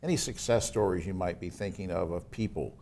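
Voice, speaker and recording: A man's voice: deep voice, a little fast, a little mellow, a little hiss or hum. A man in his sixties hosting a conversational TV interview in a folksy, enthusiastic manner. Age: 60s. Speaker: TV talk show host.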